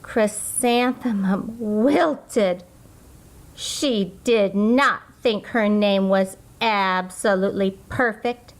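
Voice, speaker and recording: A woman's voice: mid-range voice, very slow, rough recording. A woman reading a children's picture book aloud, expressively, drawing out words for emphasis. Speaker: children's storybook reader.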